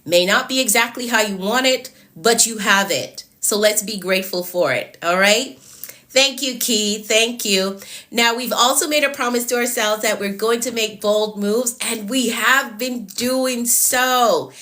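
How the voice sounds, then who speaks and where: A woman's voice: a little high, a little slow, bright, forceful tone. A woman with a stuffy, fading voice hosts a live stream as a productivity coach, greeting viewers by name and repeating her encouragements. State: congested and losing her voice. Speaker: productivity coach hosting a livestream.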